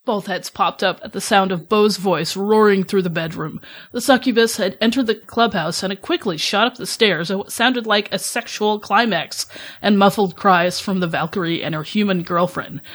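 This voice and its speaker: Woman narrating a story, mid-pitched voice. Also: normal speed, a bit bright. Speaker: podcast host, author reading own story.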